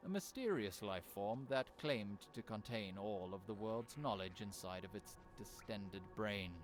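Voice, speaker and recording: Man playing a low, sweeping solemn lore narrator. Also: lively intonation, a little slow, music in the background. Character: solemn male lore narrator of fallen warriors. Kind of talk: dramatic scripted game narration.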